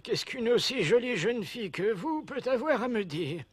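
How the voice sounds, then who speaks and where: A man's voice: extremely high pitch, sing-song, moderate pace, husky, no room sound, exaggerated voice. An older man playing an anxious, tender old woodcarver in a cartoon, hesitant and plaintive. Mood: flustered. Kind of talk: animated cartoon dub acting.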